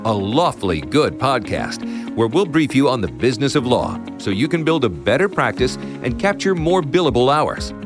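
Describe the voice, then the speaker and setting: A man's voice: mid-range voice, sing-song, normal speed. A man voicing an upbeat scripted podcast intro. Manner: upbeat announcer delivery. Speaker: show announcer. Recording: over loud intro music.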